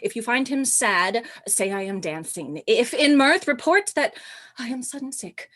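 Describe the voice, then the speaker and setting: A woman's voice: on the high side, very animated, a little slow, fairly bright tone, heavily acted. A woman playing a capricious, jealous, imperious queen in a Shakespearean radio play, with melodramatic delivery. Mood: scheming, haughty.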